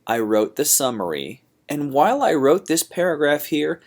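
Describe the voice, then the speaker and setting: A man's voice: a little high, very animated, normal speed, darkish tone. A man teaching a writing lesson in an informal, slangy, theatrical and emphatic manner. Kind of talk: classroom lesson on paraphrasing and essay writing.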